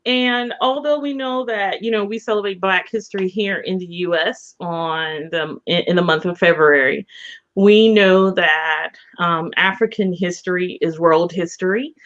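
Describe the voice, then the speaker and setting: A woman's voice: fairly low pitch, measured pace, fairly bright tone. A woman co-hosting a casual promotional chat in an enthusiastic, encouraging way. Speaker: co-host and event organizer. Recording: slightly dull, lo-fi audio.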